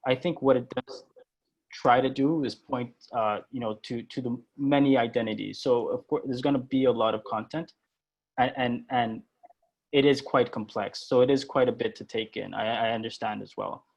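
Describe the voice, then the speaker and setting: A man's voice: mid-range voice, fairly even intonation, normal speed, creaky. A man presenting and moderating an online workshop, speaking hesitantly with many fillers and restarts.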